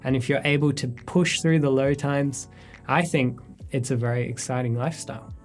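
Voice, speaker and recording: Man, thirties, photographer, relaxed and reflective, mid-pitched voice, soft guitar music behind. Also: normal speed. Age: 30s. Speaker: photographer answering viewer questions. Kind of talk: casual sit-down Q&A. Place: his studio space, indoors.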